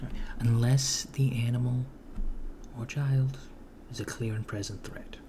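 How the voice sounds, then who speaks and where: A soft, half-whispered man playing a villainous hiring officer, polite and matter-of-fact in an ASMR roleplay. He talks very slowly and haltingly, with little rise or fall in pitch. Clear background noise and keyboard typing sit behind him. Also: fairly even intonation, lightly acted. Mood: deadpan. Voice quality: soft-spoken, often slipping into whisper. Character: polite, bureaucratic male henchman recruiter at an evil corporation. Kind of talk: ASMR roleplay, improvised job interview. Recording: clearly noisy close recording, keyboard typing. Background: computer keyboard typing.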